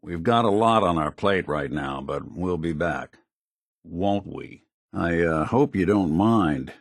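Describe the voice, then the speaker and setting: Game role: middle-aged android private eye, low gravelly register, world-weary but kindly, dry wit, noir delivery. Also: male voice, lively intonation, slow pace, dry recording, exaggerated voice. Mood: easygoing, wry. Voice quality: gravelly, husky. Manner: wry, hard-boiled, folksy old-time slang. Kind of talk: video game dialogue, film noir detective acting.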